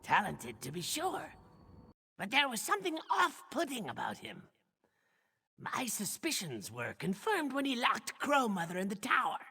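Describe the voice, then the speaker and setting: A woman voicing a wry, theatrical talking crow with a raspy, croaky voice in a video game. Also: a little high, huge pitch swings, normal speed, pushed and bright, heavily acted. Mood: wry, knowing. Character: talking crow, crass, loyal child of a lonely witch. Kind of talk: video game character acting. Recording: long cavernous reverb.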